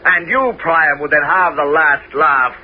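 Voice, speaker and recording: An actor playing a crafty Greek hero in a 1970s radio drama, speaking in a smooth, persuasive and scheming way. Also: male voice, fairly high pitch, unhurried, slight background noise, close to an everyday voice. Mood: flattering. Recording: dull radio broadcast with music.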